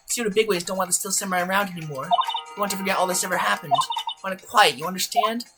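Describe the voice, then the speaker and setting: A woman plays a mild young studio assistant in a video game. The delivery is resigned and matter-of-fact, in an everyday middle pitch. Prominent music runs underneath. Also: fast talker, lightly acted. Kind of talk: video game fandub acting.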